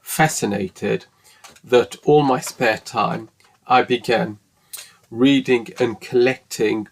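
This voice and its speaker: A man in his forties speaks slowly, with a mid-pitched voice, and he is earnest. He hosts his own podcast as a solo monologue. He pauses often with ums and restarts his sentences. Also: fairly even intonation, creaky, poor audio. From English-speaking, living in France. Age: 40s. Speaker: podcast host.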